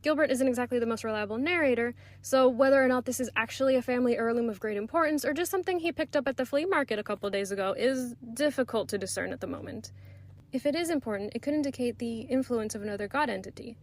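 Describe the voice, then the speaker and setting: A woman's voice: on the high side, quick pace. A woman giving prepared, articulate commentary as a listener's recorded submission to a podcast.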